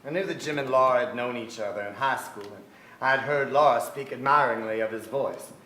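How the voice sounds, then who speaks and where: A man's voice: medium pitch, fairly quick, sharp tone, natural voice. An actor playing a brooding, restless son who recalls his family in a theatrical staged reading. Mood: wry, remembering. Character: brooding, restless adult son, narrator of memories. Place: theatre stage before an audience. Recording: echoey theatre stage, some noise.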